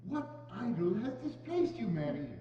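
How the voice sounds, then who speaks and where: A man performs a miserly man in a stage reading, defensive and full of regret, his pitch swinging widely. The sound is dull and echoes around a hall. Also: sing-song, normal speed, dark tone. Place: church hall, dramatic reading before microphones. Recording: dull, noisy, echoing church hall.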